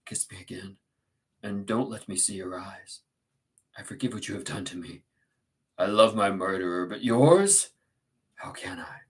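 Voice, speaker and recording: A man's voice: unhurried, long pauses, slightly rough. Man playing a brooding, tormented, intense lover. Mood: anguished tenderness. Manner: intense, anguished, low-spoken. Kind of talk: dramatic audiobook character reading.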